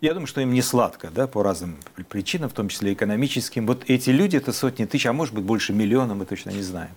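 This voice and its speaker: A man hosting an interview, asking probing questions in a measured way with hesitant fillers. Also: lowish voice, expressive, a little mellow, husky. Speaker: host, interviewer.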